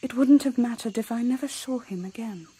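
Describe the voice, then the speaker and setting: An actress playing a cool, self-possessed young woman in a radio drama, with a hushed, candid manner. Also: a little high, a little fast, slight background noise, lightly acted. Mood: candid, wistful. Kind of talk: intimate radio drama acting.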